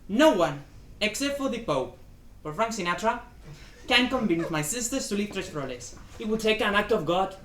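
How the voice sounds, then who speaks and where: A woman's voice: medium pitch, animated, moderate pace, natural voice. A woman acting a proud, stubborn Cuban husband in a dramatic play reading, emphatic and domineering, with Spanish endearments mixed in. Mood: teasing, proud. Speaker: student actor. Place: indoor room, live play reading. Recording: echoey room with faint background noise.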